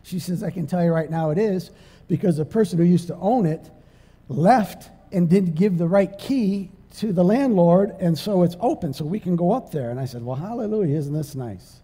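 A middle-aged man preaching from a church stage, telling a personal story in a conversational, animated way that rises to emphatic preaching. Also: high voice, normal speed, slightly rough. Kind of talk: storytelling sermon. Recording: long church hall reverb.

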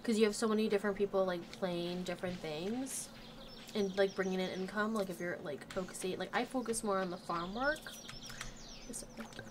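A woman's voice: medium pitch, a little fast, noisy background. Slightly nasal woman with a cold. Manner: chatty, thinks aloud, talks to game characters. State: recovering from a cold. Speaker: gaming channel host. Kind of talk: casual let's play commentary. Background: game music.

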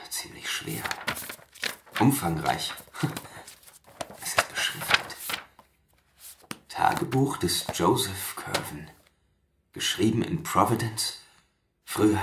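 An actor playing a secretive young scholar in a radio drama, reverently obsessed with his research, with a halting, hushed way of reading aloud. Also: deep voice, sing-song, vocal fry, full character voice. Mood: hushed wonder. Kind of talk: German radio drama acting. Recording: roomy echo, faint noise, clicks.

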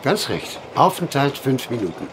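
An actor playing a courteous, dry-humored train conductor, formal and deferential, in a German audio drama. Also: male voice, big pitch swings, normal speed. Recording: clear train rumble behind voice.

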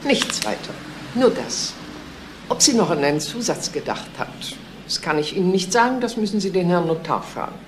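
An actress playing a curt, unforthcoming notary's clerk in a radio crime drama. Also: female voice, on the low side, sing-song, moderate pace. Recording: roomy, faint noise.

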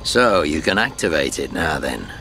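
An actor playing a shrewd, easygoing middle-aged mentor in a video game. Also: male voice, a bass voice, sing-song, normal speed, sharp tone, faint music behind, full character voice. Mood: probing. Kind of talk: video game cutscene acting.

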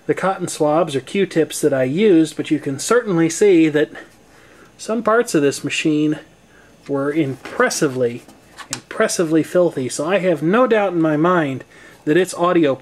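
A man's voice: on the high side, darkish tone. An American man giving a casual hands-on demonstration in a folksy, wry, explanatory way. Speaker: presenter demonstrating tape deck maintenance. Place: indoor room at home. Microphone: handheld camcorder microphone.

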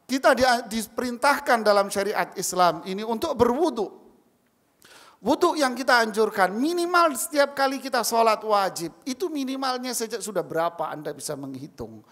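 A man in his forties giving a Friday sermon from a mosque pulpit, with emphatic rising calls to the congregation and slow chanted Arabic. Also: high voice, a bit bright. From Indonesia. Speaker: preacher. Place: large mosque hall, indoors. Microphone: stand mic at a pulpit. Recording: long mosque hall reverb.

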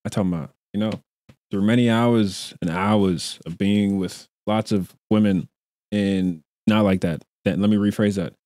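A man's voice: on the low side, fairly even intonation, moderate pace, mellow, no echo, studio quality. Teasing young man streaming reaction jokes. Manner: joking, teasing, mock-apologetic asides. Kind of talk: casual reaction commentary. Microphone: desk mic on a boom arm with headset.

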